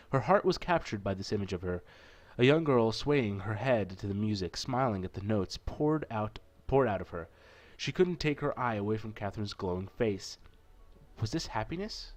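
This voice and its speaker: A man's voice: lowish voice, animated, moderate pace. A man narrating a visual novel playthrough, with an informal, self-correcting manner and casual asides. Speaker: let's play narrator.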